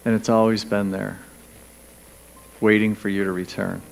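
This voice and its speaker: A man leading a guided meditation, speaking gently and soothingly in short, spaced phrases with pauses. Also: on the low side, level tone, vocal fry, rough recording. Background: background music.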